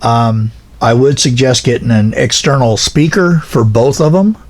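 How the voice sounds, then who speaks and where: A man's voice: medium pitch, measured pace. Plain-spoken man giving an informal gear explainer. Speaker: instructor, pilot car operator.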